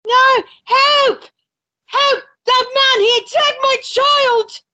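A woman playing a rough, scheming working-class London mother in a radio play. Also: very high-pitched, steady tone, slow pace, shouting, lo-fi sound, very noisy. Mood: frantic, accusing.

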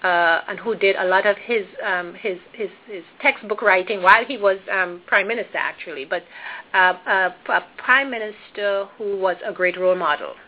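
A woman's voice: medium pitch, normal speed. A woman from Trinidad and Tobago with a Trinidadian accent, a guest answering interview questions thoughtfully, with many ums and self-corrections. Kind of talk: interview conversation. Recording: phone-like narrow audio.

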